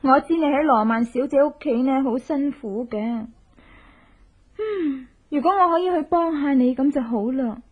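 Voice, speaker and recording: A young woman played by a radio drama actress speaks Hong Kong Cantonese in a high voice, theatrical and determined, with coaxing drawn-out endings. The recording is dull and lo-fi. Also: close to an everyday voice. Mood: coy, coaxing. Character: determined avenging woman, coaxing sweetly in disguise as wife.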